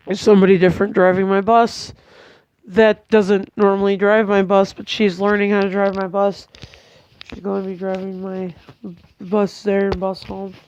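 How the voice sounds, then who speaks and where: A tired, congested young woman, giving a hesitant, chatty vlog update at home with many pauses and restarts. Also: medium pitch, a little mellow, highs cut off. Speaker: vlogger. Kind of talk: casual rambling update to camera.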